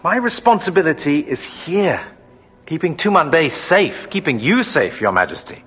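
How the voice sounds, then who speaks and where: An actor playing a diplomatic, cautious master of the palace guard in a radio drama, humble and deferential. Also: male voice, fairly high pitch, big pitch swings, moderate pace, fairly bright tone, husky, heavily acted.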